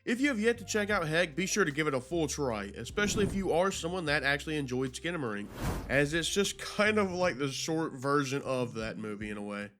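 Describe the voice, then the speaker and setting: A man's voice: a little high, expressive, a little fast. A man hosting a video commentary, narrating in an informal, slangy, conversational way. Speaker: YouTube host.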